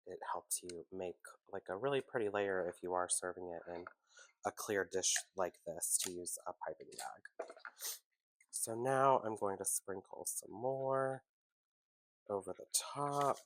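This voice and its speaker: A man hosting a casual home baking tutorial, chatty and relaxed, with a congested, sniffly, nasal voice from a cold. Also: sits low, measured pace. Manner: chatty, relaxed, self-deprecating asides.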